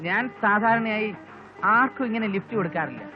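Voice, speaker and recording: A man's voice: high-pitched, expressive, a little fast. An actor playing a boastful, wheedling man in a film comedy, with mock-grand, theatrical phrasing. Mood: flirtatious, showing off. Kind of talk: Malayalam film comedy dialogue. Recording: dull, lo-fi film soundtrack. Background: prominent background music.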